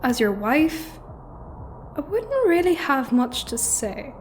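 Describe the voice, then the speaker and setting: A woman playing a calm, teasing, forthright wife in a sci-fi audio drama, with deliberate phrasing. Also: high voice, slight background noise, exaggerated voice. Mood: earnest, teasing.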